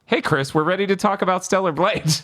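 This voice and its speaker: A man's voice: sits high, a bit bright. A man hosting a casual podcast chat about games and films, joking and riffing with mock dialogue. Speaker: podcast host.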